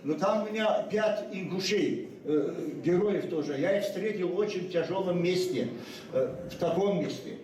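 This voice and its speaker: Older man, high voice, echoey hall. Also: a little mellow, far from the mic. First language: Ossetian. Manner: halting, many hesitations and restarts. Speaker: guest speaker at a memorial ceremony. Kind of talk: unscripted ceremonial speech. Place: school hall at a public ceremony.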